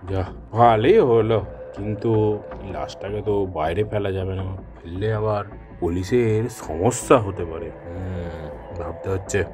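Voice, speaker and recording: A man's voice: low-pitched, unhurried, over music. A man voicing a greedy, murderous male biryani seller in a cartoon, gloating and cackling. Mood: scheming. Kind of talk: Bengali cartoon dubbing.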